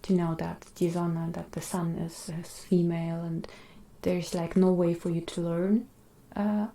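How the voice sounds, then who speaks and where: Russian-native woman for a cosy ASMR stream, low gravelly whisper-talk, roomy echo. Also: little pitch movement, unhurried. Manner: soft-spoken, slips between whisper and low talk. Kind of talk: casual whispered chat with viewers.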